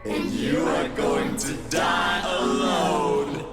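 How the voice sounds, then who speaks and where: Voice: medium pitch, steady tone, drawn-out words, music in the background. An actor voicing a cruel, taunting monster in a horror visual novel, threatening its victim. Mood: menacing, taunting. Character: menacing taunting monster mimicking human voices.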